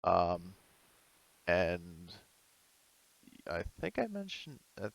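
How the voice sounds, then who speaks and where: A man's voice: sits low, big pitch swings, very slow, vocal fry, poor audio. A man casually narrating a tabletop roleplaying game as game master, speaking in hesitant, stop-start phrases.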